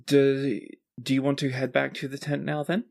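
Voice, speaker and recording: A man playing an earnest, weary man in a tabletop game, imploring and halting. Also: mid-range voice, flat delivery, vocal fry, a little mellow, voice pushed up, natural voice. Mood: resigned.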